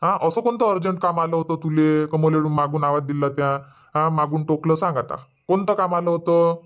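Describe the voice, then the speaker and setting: A high-pitched, irritable cartoon husband, acted by a man, grumbles and argues in a Varhadi Marathi village accent. The recording is slightly dull and lo-fi. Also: moderate pace, close to an everyday voice. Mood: grumbling. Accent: Varhadi Marathi (Vidarbha). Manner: grumbling, argumentative. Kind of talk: Marathi comedy cartoon dubbing.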